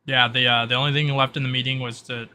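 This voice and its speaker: Bright-voiced man running a game over noise. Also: mid-range voice, flat delivery, fast talker. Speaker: game master. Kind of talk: narrating a tabletop game, in and out of character. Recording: noisy mic with keyboard clatter.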